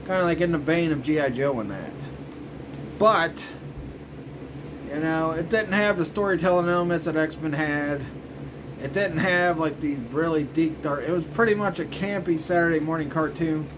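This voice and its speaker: A man's voice: on the high side, noisy background. A man casually rambling through a commentary as host of a review show, in informal, loosely strung phrases. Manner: informal, repetitive filler phrases like "you know" and "frickin'".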